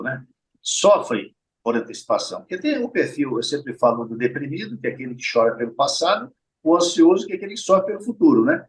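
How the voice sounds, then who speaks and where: A man's voice: medium pitch, slight background noise, low-quality recording. A middle-aged man with a Brazilian Portuguese accent, a heart surgeon explaining things patiently in an interview and often checking in with his listeners. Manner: explains patiently, often adds tag questions and addresses listeners directly. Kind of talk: explanatory conversational interview talk.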